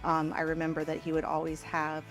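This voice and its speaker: A woman's voice: deep voice, monotone, brisk, soft background music. A woman, a bar and grill owner answering interview questions playfully and laughing often. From Norwood, Ohio. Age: late 40s. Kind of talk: casual interview.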